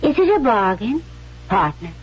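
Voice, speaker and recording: An actress playing a shrewd, spunky young woman blackmailer in old-time radio drama, cool and pointed, with a husky voice. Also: medium pitch, very animated, moderate pace. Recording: muffled vintage radio broadcast.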